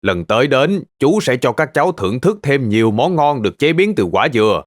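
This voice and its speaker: A man's voice: medium pitch, very animated, a little fast, lightly acted. A man voicing a friendly farm-owner uncle who patiently teaches children in an educational cartoon dub. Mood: friendly, instructive. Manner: patient, explanatory, addressing children gently.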